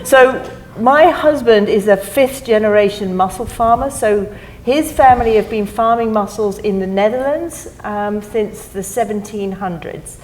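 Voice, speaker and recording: A woman's voice: medium pitch, a little slow, darkish tone, a little hiss or hum, poor audio. A middle-aged woman with a non-American accent, speaking as a panelist in a chatty, laughing, self-deprecating way in a theater. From not from the US. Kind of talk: panel discussion. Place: theater stage.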